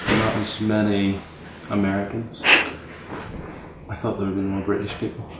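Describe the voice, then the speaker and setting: Male student interviewee, low pitch, casual, roomy recording. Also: little pitch movement, unhurried. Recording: echoey room, faint ambient noise.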